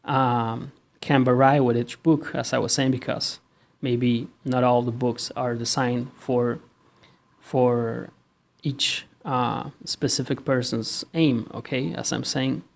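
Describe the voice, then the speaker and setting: A man from Argentina with an Argentine Spanish accent, a native Spanish speaker, introducing his online language lessons with many pauses and a habit of checking in with "okay?". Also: mid-range voice, creaky, darkish tone. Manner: halting, many fillers and restarts, tag "okay?". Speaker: language teacher.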